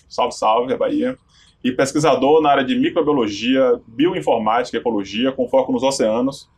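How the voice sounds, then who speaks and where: A man's voice: medium pitch, slightly rough. A man hosting a podcast, introducing guests in a casual, conversational way. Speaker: podcast host.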